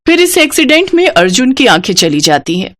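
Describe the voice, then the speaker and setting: A woman's voice: sits high, very animated, a little fast, fairly bright tone, dry recording. A woman narrating a scripted moral story as a storyteller.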